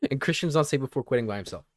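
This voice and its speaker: A man's voice: medium pitch, expressive, a little fast, fairly bright tone. A man co-hosting a gaming podcast, talking in casual, joking banter. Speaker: podcast co-host.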